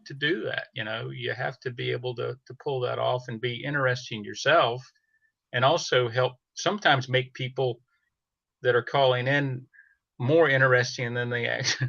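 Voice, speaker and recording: A man with a bright, forceful voice of middling pitch talks casually as a podcast guest. He often halts and restarts his phrases. The audio is dry and a little dull. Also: gravelly, no echo. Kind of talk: casual conversation. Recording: slightly dull, band-limited dry audio.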